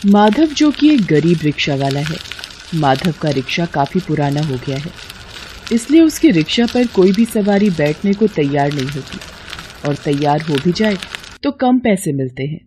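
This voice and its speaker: A woman's voice: low-pitched, sing-song, normal speed, dark tone, slight background noise, rough recording. A woman narrating a scripted moral story as its storyteller.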